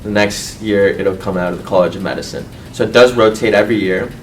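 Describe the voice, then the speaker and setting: A man in his twenties from Colombia who grew up in California, giving an earnest, halting personal testimonial as a student. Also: fairly even intonation. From Colombia, raised in California. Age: 20s. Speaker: medical student and university board representative. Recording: distant mic, faint rumbling noise.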